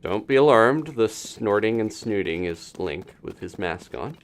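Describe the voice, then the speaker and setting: A man's voice: lowish voice, lively intonation, a little slow, slight background noise. A man giving casual live gameplay commentary, chatting and thinking aloud in unfinished asides. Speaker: video game streamer.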